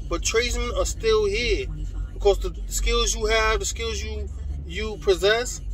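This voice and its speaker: A high-pitched man with a New York accent talks casually to his audience like a tradesman giving encouragement. His phrasing is streetwise and slangy, with repeated lines for emphasis. Music plays behind his voice. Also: rough recording. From New York, United States. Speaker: HVAC tradesman and channel host. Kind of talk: casual motivational talk to camera.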